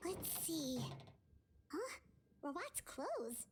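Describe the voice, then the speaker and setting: Game role: small sweet girl, extremely high voice, very lively swoops, curious. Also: extremely slow, a little hiss or hum, heavily acted. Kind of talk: scripted video game voice acting.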